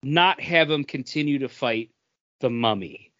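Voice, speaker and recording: A man's voice: mid-range voice, lively intonation, measured pace, fairly bright tone, dry recording, highs cut off. Rambling man on a dry podcast mic. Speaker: podcast co-host. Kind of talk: casual chat.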